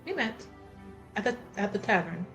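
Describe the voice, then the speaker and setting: A woman improvising casually in a tabletop roleplaying game, thinking aloud in an informal, animated way. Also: mid-range voice, normal speed, over music.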